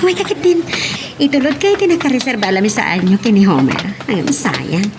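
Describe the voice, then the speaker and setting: A woman's voice: a little high, expressive, fairly quick, background noise. A woman playing a cheerful young restaurant owner in an Ilocano radio drama, with a Filipino accent. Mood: chatty, excited.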